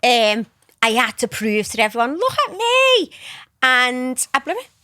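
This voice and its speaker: A woman's voice: high voice, measured pace, dry recording, studio quality. Brash Scouse woman telling it straight. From Liverpool, England. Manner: blunt, animated storyteller, acts out voices. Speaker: guest, entrepreneur. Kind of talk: casual interview chat.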